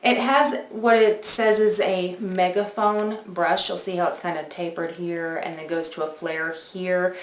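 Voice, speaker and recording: A woman's voice: lowish voice, steady tone, measured pace, few pauses. A woman in her forties giving a casual, explanatory product review, speaking directly to her viewers in a conversational way. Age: 40s. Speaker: beauty video host. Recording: echoey untreated room.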